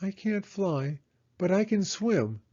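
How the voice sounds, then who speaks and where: A man's voice: high-pitched, very animated, slow pace, dark tone, muffled recording, voice pushed up, heavily acted. A man voicing a small, brave, loyal female hedgehog in a children's storybook, in an earnest, determined manner.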